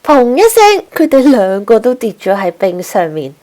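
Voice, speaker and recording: A woman tells a scripted story for young children. Her voice is very high, and she reads it as a storyteller for little listeners. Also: sing-song, normal speed, dark tone.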